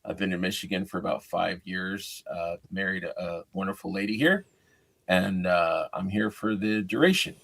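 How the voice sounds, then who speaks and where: A man's voice: sits low, normal speed, creaky, fairly bright tone. A man with an American accent hosting a casual livestream chat. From California, United States. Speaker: host.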